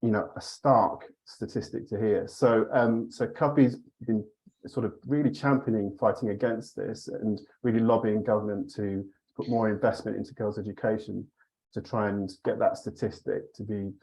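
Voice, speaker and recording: A man working as a charity director, giving an overview in a measured way, often hedging with "sort of" and "you know". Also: on the low side, fairly even intonation, a little mellow, low-quality recording. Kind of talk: panel overview remarks.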